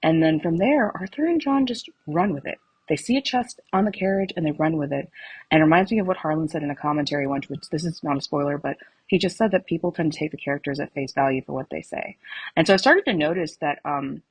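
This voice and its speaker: A woman with a slightly husky voice of average pitch, recorded close and dry. She talks like an excited fan leaving a message, casual and animated, with a giggly edge. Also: fast talker, dry recording, low-quality recording. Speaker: podcast listener sending a voice message.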